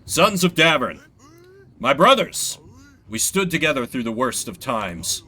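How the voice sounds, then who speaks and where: Fantasy game king, voiced by a man: stern, formal, commanding, forceful, with wide swings in delivery. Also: mid-range voice, big pitch swings, a little slow, heavily acted. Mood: rousing, solemn. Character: stern medieval king addressing his subjects and army.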